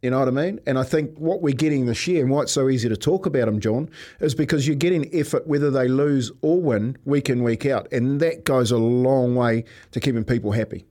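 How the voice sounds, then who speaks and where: A man's voice: mid-range voice, brisk, warm tone. A man giving casual banter and analysis as a sports radio pundit.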